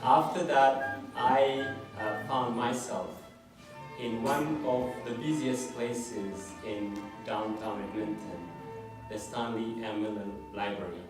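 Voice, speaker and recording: A man with an Ethiopian accent speaking haltingly to introduce his memoir at a public reading. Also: on the low side, fairly even intonation. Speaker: memoir author, guest speaker. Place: room at a public event. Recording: echoey room, distant mic, music.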